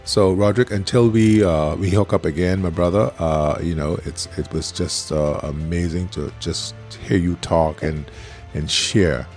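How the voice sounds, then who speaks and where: A man's voice: low-pitched, steady tone, unhurried, soft background music. A man hosting a podcast, friendly and prone to stammering restarts. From the Bahamas. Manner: warm, repeats himself, stammering restarts. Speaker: podcast host. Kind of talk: casual interview talk.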